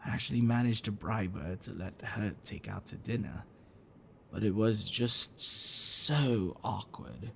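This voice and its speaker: A man playing a gentle, bookish half-dragon boyfriend in an intimate ASMR roleplay, affectionate and apologetic, speaking haltingly and softly. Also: fairly low pitch, animated, vocal fry, a little hiss or hum, heavily acted. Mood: regretful, tender. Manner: halting, soft chuckles and sighs, dropping to whispers.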